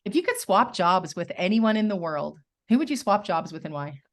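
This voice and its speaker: A woman interviewer asking rapid-fire questions in a chatty, upbeat way. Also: mid-range voice, sing-song. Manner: chatty, upbeat, quick to laugh.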